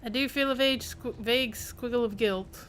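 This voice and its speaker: A woman's voice: on the high side, brisk, a bit bright, slight background noise. A woman giving casual live commentary as she streams a game, in a wry, playful, rambling way.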